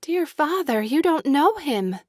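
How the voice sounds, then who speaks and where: A woman playing a gentle, timid, earnest young daughter in a period audiobook drama, speaking softly and sincerely. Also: sits high, sing-song, unhurried, dry recording, studio quality. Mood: pleading, upset. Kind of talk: full-cast audiobook period drama acting.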